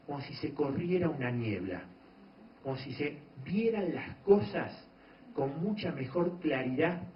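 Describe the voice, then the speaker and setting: A man giving a measured lecture on meditation to an audience, pausing often and restarting phrases. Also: on the high side, slight background noise. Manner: measured, explanatory, frequent pauses and restarts. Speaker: doctor giving a talk on meditation. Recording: echoey room, dull lo-fi sound.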